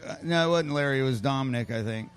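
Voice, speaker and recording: A man's voice: mid-range voice, fast talker. A man who works as a musician tells stories on a casual podcast. He talks in a loose, easygoing way, with plenty of swearing and filler like "you know" and "man". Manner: laid-back, profane, with "you know" and "man". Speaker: musician and show regular. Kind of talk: casual storytelling.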